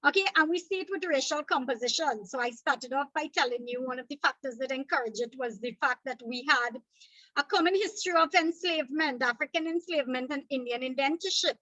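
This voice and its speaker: A woman's voice: high-pitched, fairly quick, bright, forceful tone, dry recording, rough recording. A woman from Trinidad and Tobago with a Trinidadian accent, teaching an exam revision lecture, explaining points step by step and checking in with "okay?".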